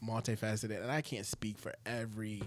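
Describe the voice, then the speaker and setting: A man's voice: fairly low pitch, fairly even intonation, fairly quick, noisy background. A man with an Ohio accent, a podcast guest chatting casually, laughing often and restarting his sentences.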